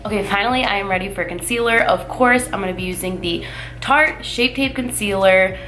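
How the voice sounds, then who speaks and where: A young woman talks through a makeup tutorial in an upbeat, chatty way, full of little fillers. Her voice sits at an everyday middle pitch and sounds stuffy and nasal from a cold. Soft music plays faintly underneath. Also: fairly quick, low-quality recording. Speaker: beauty vlogger. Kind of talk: casual makeup tutorial talk.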